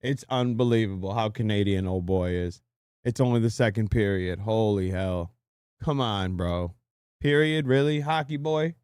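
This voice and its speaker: A man's voice: lowish voice, moderate pace, darkish tone, smooth, no echo, clean studio sound. A man streaming a reaction video, chatting casually and crudely while joking and laughing along.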